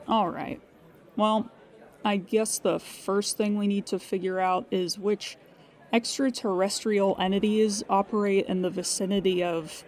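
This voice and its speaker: A woman's voice: mid-range voice, a little mellow. A woman playing a dryly weary, procedural insurance claims agent in an improvised, conversational audio drama. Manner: procedural, measured, wry asides when musing.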